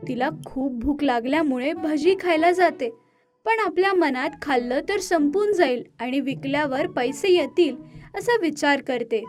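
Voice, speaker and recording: A woman delivers scripted storytelling narration for an animated moral tale. Her voice is high, and faint music plays underneath. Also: a little slow.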